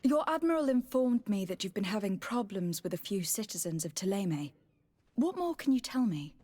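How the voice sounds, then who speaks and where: An actress playing a composed, diplomatic noblewoman investigator in a fantasy video game, speaking in a formal, measured, probing way. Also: medium pitch, sing-song, dark tone, no room sound. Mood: inquiring.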